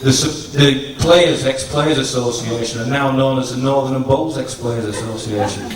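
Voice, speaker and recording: A man giving a humorous after-dinner tribute speech to an audience, in a teasing, deliberate storytelling manner. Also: mid-range voice, fairly even intonation, crowd noise. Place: large function room at a dinner, distant microphone. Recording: echoey noisy hall, from far off.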